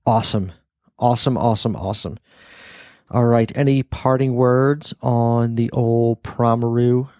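A middle-aged man hosts a casual podcast, teasing in a playful way and circling back over his own words. His voice sits at an ordinary pitch. The recording is dry and close. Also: fairly even intonation, measured pace, mellow, no echo. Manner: playful teasing dad, rambling restarts. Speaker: podcast host, father.

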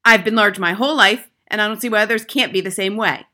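A woman's voice: medium pitch, fast talker, pushed and bright, pitched above the performer's own voice, natural voice. A woman voicing a huge, ferocious headmistress in a story, barking and bellowing contemptuous orders and insults.

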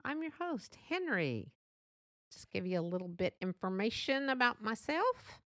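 A woman's voice: a little high, sing-song, measured pace, fairly bright tone, no echo. Woman, middle-aged, Texan accent, podcast host, chatty, rambling, dry close recording. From Texas. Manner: chatty, rambling, self-deprecating. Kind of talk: unscripted solo podcast monologue.

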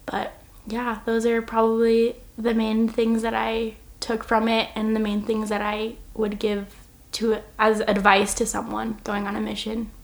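A woman's voice: a little high, monotone, a little slow. A woman in her twenties from Oregon, answering a podcast interview in an earnest, reflective way and laughing easily. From Oregon, United States. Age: 20s. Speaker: podcast guest. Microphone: single shared microphone.

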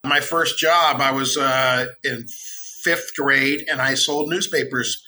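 A middle-aged American man talking as a podcast guest, relaxed, friendly and chatty. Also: mid-range voice, takes it slowly, low-quality recording. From United States. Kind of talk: casual interview.

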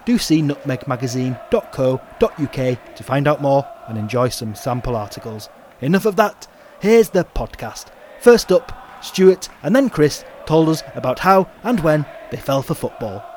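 A man's voice: medium pitch, very animated, moderate pace, darkish tone, a little hiss or hum. A man hosting a football podcast, reading a jokey scripted intro and chairing a live panel. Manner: dry, jokey delivery. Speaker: podcast host.

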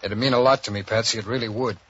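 A man's voice: lowish voice, steady tone, fast talker, fairly bright tone, husky. An American actor playing a down-on-his-luck ex-convict in a 1940s radio drama, coaxing an old pal in a hesitant, evasive way. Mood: pleading, evasive. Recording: dull vintage radio recording.

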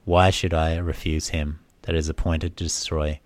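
A man's voice: rumbling low, little pitch movement, normal speed, no room sound. A man voicing a sorrowful, proud young princess resigned to doom, in solemn declamatory storytelling. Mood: resolute, resigned. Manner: solemn, declamatory, rhetorical questions. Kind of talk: dramatic storytelling of an old myth.

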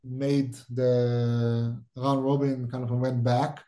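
A middle-aged man with an Israeli accent speaks in a warm, dark, mellow tone. He answers questions directly, thinking aloud and restarting his sentences as he works toward his point. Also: medium pitch, level tone, takes it slowly. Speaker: guest, startup CEO. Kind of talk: podcast interview.

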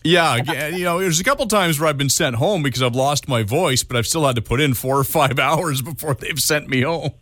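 A man's voice: fairly high pitch, a little fast, few pauses, dry recording, clean studio sound. A man, co-hosting a radio talk show in easygoing chat full of fillers and restarts. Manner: relaxed, hesitant, many fillers and restarts. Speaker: radio co-host. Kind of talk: casual on-air chat.

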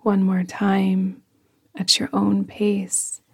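Slow, hushed woman guiding meditation. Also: medium pitch, completely flat delivery. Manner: soft, breathy, hushed delivery trailing into whispers. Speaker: yoga nidra guide. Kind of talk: guided meditation, slow and soothing.

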